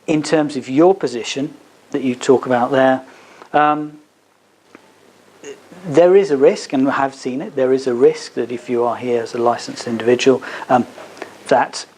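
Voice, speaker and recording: A man with a mid-pitched voice gives a seminar answer as a legal adviser. He explains in a hesitant, careful way, hedging as he goes. There is faint noise behind him. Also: a little mellow, low-quality recording. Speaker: advocate giving a legal seminar. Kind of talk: seminar talk answering audience questions. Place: seminar at a business hub.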